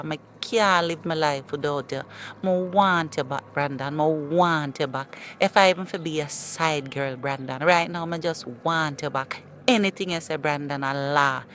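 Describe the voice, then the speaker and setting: A woman's voice: low-pitched, slight background noise. An actress playing a desperate young woman begging her ex-lover to take her back, in a comedy skit. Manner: pleading, tearful begging.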